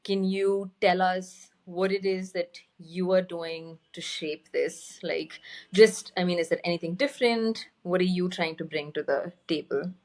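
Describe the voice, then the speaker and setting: A woman with a slightly low-pitched voice hosts a conversational interview. She phrases her questions loosely and informally, as in a casual chat between colleagues. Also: fairly even intonation, a little slow, darkish tone. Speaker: interviewer, host.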